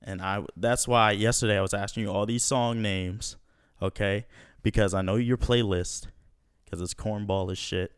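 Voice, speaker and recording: A man's voice: lowish voice, fairly even intonation, normal speed, smooth, no echo, studio quality. A young man hosting a casual podcast at home, slangy and laid-back, swearing often. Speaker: podcast host. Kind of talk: casual profane podcast chat.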